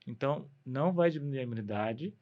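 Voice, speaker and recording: A man with a Brazilian Portuguese accent speaks as a doctor guesting on a podcast, explaining things in a conversational way. His voice is medium in pitch, and the recording is a little dull. He often sets up a question and then answers it. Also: expressive, fast talker.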